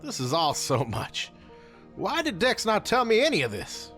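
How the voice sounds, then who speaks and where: A man plays a brash young masked hero in an audio drama, casual and sarcastic, with a lively, bouncing delivery. Faint music sits under the scene. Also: high-pitched, exaggerated voice. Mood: bewildered. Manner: sarcastic, casual, quick to swear. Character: cocky young male masked rider hero.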